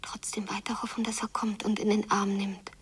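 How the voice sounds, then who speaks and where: An actress playing a wounded, secretive young daughter who longs and seethes in a radio drama, often hushed and drawing out her phrases. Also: mid-range voice, flat delivery, moderate pace, husky. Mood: whispered grim longing. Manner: hushed, echoing repeated phrases, drawn out. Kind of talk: literary radio drama, whispered inner monologue.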